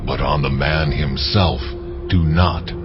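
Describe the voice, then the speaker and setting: A man's voice: very deep voice, fairly even intonation, slow. A man voicing the Lord in a dramatized scripture reading, solemn and authoritative. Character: the Lord, commanding divine male voice. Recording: dull lo-fi recording. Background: cinematic music.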